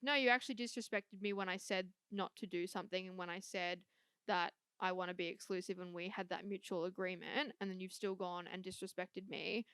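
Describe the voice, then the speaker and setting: A woman's voice: mid-range voice, fairly bright tone, smooth, no room sound. A young woman co-hosting a casual podcast, chatty, swearing freely and using lots of fillers. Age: young adult. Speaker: podcast co-host. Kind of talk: casual chat.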